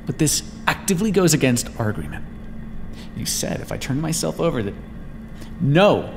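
A man performs a desperate, sardonic vampire scientist in an audio roleplay. His speech is formal and dramatic, swinging widely in pitch with a gravelly fry, inside a reverberant, noisy space. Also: very animated, moderate pace, music in the background. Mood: indignant, defiant. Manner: dramatic, formal wording, often dropping to a whisper. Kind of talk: ASMR roleplay voice acting. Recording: hall-like reverb with clear background noise.

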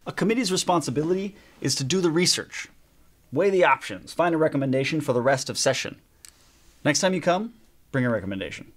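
An actor in his thirties playing a calm young pastor in a training film, explaining in a measured way. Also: on the high side. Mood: instructive. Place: small meeting room.

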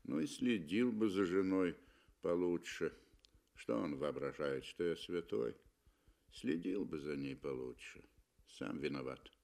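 A cool, indifferent big-game hunter acted by a man talks in a very low, muffled voice. He sounds detached, as in a 1960s radio play. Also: animated, a little slow, creaky, a little mellow, exaggerated voice. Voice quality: muffled, dull voice.

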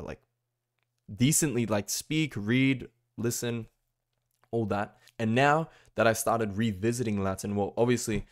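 Young man, lowish voice, rambling bedroom vlog, heavy on fillers. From Australia. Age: young adult. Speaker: language-learning vlogger. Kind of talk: casual talking-head vlog. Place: small bedroom, indoors.

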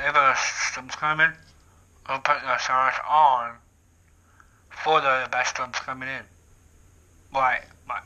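A young man vlogging from his living room, excitedly talking through a handheld bullhorn and often repeating and restarting his sentences. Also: mid-range voice, muffled recording. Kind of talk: casual vlog to camera. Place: living room at home, indoors. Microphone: camera on tripod, talks through a handheld bullhorn.

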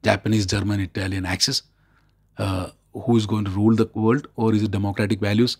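A man's voice: lowish voice, little pitch movement, fairly quick, slightly rough. A man with an Indian accent explaining things conversationally as an expert, emphatic and often rephrasing himself. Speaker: expert guest co-host.

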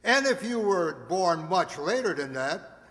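A man's voice: sits high, sing-song, measured pace, a bit bright. An older man hosting, giving a closing announcement to an audience from a stage. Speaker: host closing the show. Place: community hall stage. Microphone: stand microphone. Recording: noisy, echoey hall recording.

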